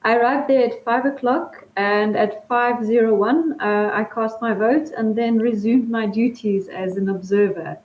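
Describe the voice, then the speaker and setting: A South African-born woman, an election observer, calmly and clearly describing her day over a remote video call. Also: on the high side, measured pace, hardly any pauses, roomy sound, lo-fi sound, soft background music. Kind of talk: remote interview. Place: room in Switzerland.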